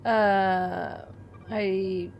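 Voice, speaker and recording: A woman's voice: mid-range voice, fairly even intonation, very slow, creaky, darkish tone, muffled recording, faint music behind. Wry woman playing a tabletop game. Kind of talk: casual improvised game chat.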